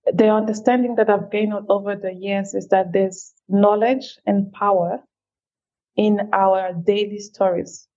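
A woman from Cameroon speaking as an interview guest at a middling pitch. She talks in a thoughtful, measured way, speeding up on side remarks. The audio sounds a little dull and lo-fi. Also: level tone, mellow. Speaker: guest, feminist leader. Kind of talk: reflective interview answers.

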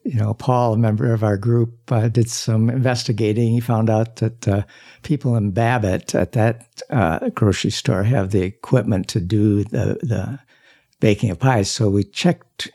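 An older man speaking as an interview guest, halting with many fillers and soft chuckles. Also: on the low side, steady tone. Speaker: guest, service club member. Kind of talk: casual interview chat.

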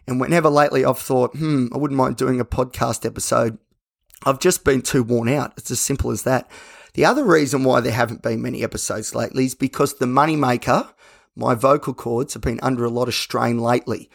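An Australian man talks candidly as a solo podcast host. His voice is a bit croaky with plenty of fry, at an average pitch. He rambles conversationally and often corrects himself. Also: fairly even intonation, brisk. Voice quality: slightly croaky.

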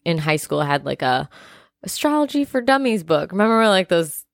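A young woman co-hosting a podcast, chatting casually with lots of "like" and quick laughs. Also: on the low side, very animated, vocal fry, dry recording, studio quality. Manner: giggly, uses "like" often. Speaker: podcast co-host. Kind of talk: casual chat.

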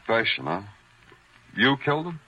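Deadpan detective, muffled old radio. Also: male voice, mid-range voice, moderate pace, husky, full character voice. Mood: dry, probing. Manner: deadpan, brooding, poetic. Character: world-weary, sardonic hardboiled police detective. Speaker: lead actor and narrator. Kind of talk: 1940s hardboiled radio narration and acting. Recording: muffled vintage 1940s radio recording, music behind.

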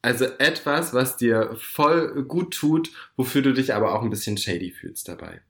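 A man's voice: medium pitch, normal speed, gravelly, fairly bright tone, reverberant. A man co-hosting a casual chat podcast, talking chattily and mocking himself a little while recovering from a cold. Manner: chatty, self-mocking, mixes in English words. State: has a cold. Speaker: podcast co-host.

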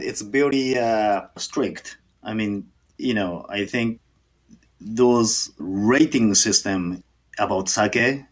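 Japanese-accented male interviewee, lower pitch, hesitant and halting delivery. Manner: halting, many fillers and restarts. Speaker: sake brewer. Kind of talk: interview answers.

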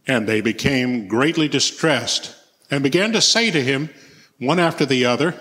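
Man, bright forceful voice, narrating scripture, echoey church hall. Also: mid-range voice, lively intonation, normal speed. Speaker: scripture reader, church member.

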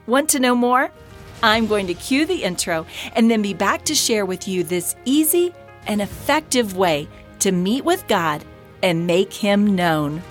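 A woman hosting a devotional podcast, earnest and encouraging, her voice hoarse and scratchy from a cold. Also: medium pitch, expressive, a little slow, soft background music. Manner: earnest and encouraging, with short breathy laughs. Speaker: podcast host and ministry founder. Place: podcast studio.

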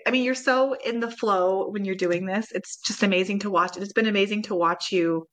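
A woman's voice: mid-range voice, fairly even intonation. Host: a woman with a mid-range voice, encouraging, praises her guest freely. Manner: warmly encouraging, quick to praise. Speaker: podcast host. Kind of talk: casual podcast conversation.

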